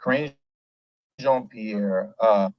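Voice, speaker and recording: A man hosting a casual commentary show, talking in an animated, emphatic and rambling way and laughing often. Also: lowish voice, very slow, no echo, muffled recording. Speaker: host.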